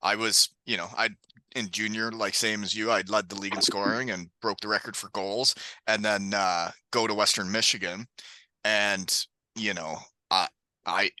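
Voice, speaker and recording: A man's voice: fairly low pitch, steady tone, normal speed, pushed and bright, no room sound, rough recording. A man hosting a casual podcast chat, telling stories with frequent laughs. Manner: chatty storyteller, laughs often. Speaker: podcast host, hockey coach.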